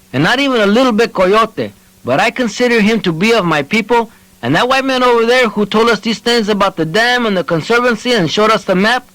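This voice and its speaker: Audiobook role: male narrator as stammering old village man, pitched high, earnest, indignant, pleading, very close dry sound. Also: fairly bright tone, dry recording, low-quality recording. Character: emotional old one-handed New Mexico villager, indignant and earnest.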